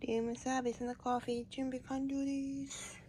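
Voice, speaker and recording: A woman's voice: high voice, level tone, quick pace, heavy background noise. A woman from Tokyo vlogging from a cruise cabin, chatty and cheerful. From Tokyo, Japan. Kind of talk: casual vlog narration.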